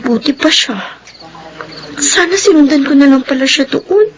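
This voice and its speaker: A woman's voice: high-pitched, normal speed, muffled recording, close to an everyday voice. A woman with a Filipino accent playing a timid, vulnerable young schoolgirl in a radio drama, with a hesitant, pleading manner. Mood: weary. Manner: stammering, pleading.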